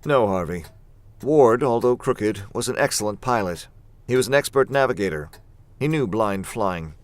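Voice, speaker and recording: An actor playing a composed, confident gentleman detective in an old-time radio drama. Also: on the low side, lively intonation, moderate pace, no room sound, lightly acted. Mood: assured. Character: composed, confident gentleman sleuth, man of reason.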